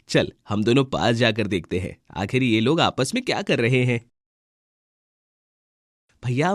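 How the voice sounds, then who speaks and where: A man's voice: mid-range voice, no echo, studio quality. A man voicing young brother birds in a children's cartoon, emphatic and boyish. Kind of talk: Hindi children's cartoon dialogue.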